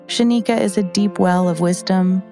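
A woman's voice: mid-range voice, steady tone, moderate pace, mellow. A woman reading a scripted podcast introduction. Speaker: podcast host and announcer. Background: music under the voice.

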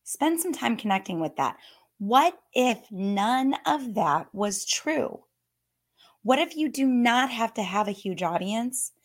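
A woman in her thirties with a slightly hoarse voice, giving a chatty, upbeat live coaching talk. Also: fairly high pitch, sing-song, slow. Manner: upbeat, chatty, often laughing. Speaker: fitness business coach.

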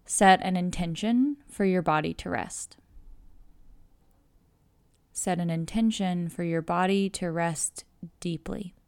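Slow, low-voiced woman guiding meditation. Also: smooth, dry recording. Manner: calm, measured, soothing instruction with long pauses. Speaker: yoga nidra guide. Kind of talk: guided meditation narration.

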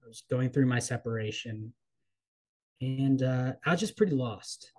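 Measured, reflective American man. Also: medium pitch, darkish tone. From East Coast of the United States. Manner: thoughtful, measured, with frequent ums and trailing pauses. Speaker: guest, coach and writer. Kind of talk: reflective personal interview.

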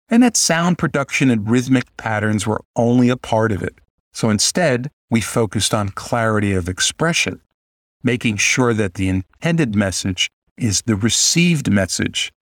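A man hosting a podcast, explaining a coaching story in a measured way. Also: fairly low pitch, dry recording, clean studio sound. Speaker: podcast host and communication coach. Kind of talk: prepared commentary.

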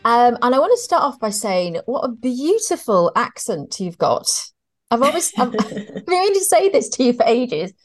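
A woman from North Wales with a Welsh accent, hosting a podcast and chatting in a warm, effusive way. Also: on the high side, big pitch swings, moderate pace. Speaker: podcast host.

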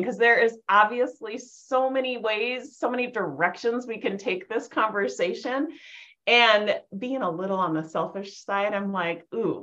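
A woman's voice: mid-range voice, a bit bright, muffled recording. A woman hosting a podcast, reading a guest introduction and then chatting enthusiastically. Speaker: podcast host. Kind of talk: podcast interview.

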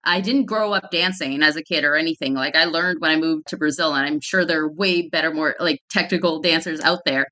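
Chatty woman, bright tone. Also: low-pitched, a little fast, few pauses. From Canada. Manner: chatty, many "like" fillers, laughs often. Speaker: guest, fitness founder. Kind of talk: casual podcast conversation.

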